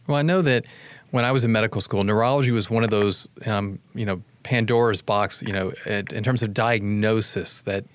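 A man hosting a radio health show, chatty and halting, often restarting his sentences. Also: lowish voice, steady tone, no room sound. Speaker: radio host, family doctor.

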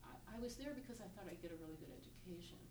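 An older woman from Virginia recalling her life in an oral history interview, thoughtful and unhurried, with many pauses and fillers. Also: fairly low pitch. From Virginia, US South. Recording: roomy, distant mic with steady background noise.

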